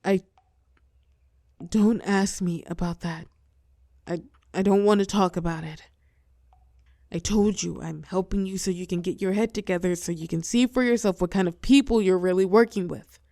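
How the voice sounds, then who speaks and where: A fallen heroine turned telepath villain, acted by a woman, speaks close and dry in a lowish, crackling voice. She is weary and wry, tender with an edge of menace, pausing for effect as in an intimate audio drama. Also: mellow, dry recording, natural voice. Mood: reluctant, resigned. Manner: soothing pet names, wry bitterness, dramatic pauses, drops into whispers. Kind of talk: intimate scripted audio roleplay monologue.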